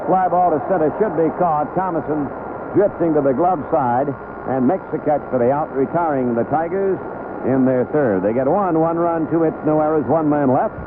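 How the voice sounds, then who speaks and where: A man giving unhurried, measured live play-by-play of a baseball game as a radio announcer. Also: a little high. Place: ballpark broadcast booth. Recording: noisy narrowband 1970s AM radio broadcast.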